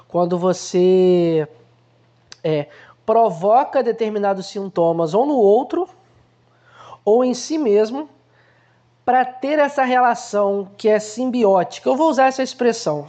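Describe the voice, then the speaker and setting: A man hosts an unhurried film analysis, speaking in a warm, mellow voice. He explains ideas conversationally and often acts out small everyday examples to make his point. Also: high voice. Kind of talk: casual explanatory film commentary.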